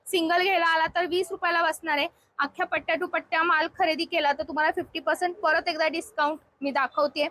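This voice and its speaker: उंच आवाजाची मराठी महिला व्लॉगर. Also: female voice, extremely high pitch, steady tone, fast talker, bright, forceful tone. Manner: chatty, explanatory, addressing viewers. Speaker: shopping vlog host. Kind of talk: casual shop tour presentation. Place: inside a shop.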